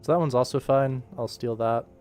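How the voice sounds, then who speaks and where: A man with a medium-pitched voice chats casually on a work stream. He muses aloud like a director sorting through takes, with game music playing softly in the background. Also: fairly quick. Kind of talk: casual livestream commentary while working.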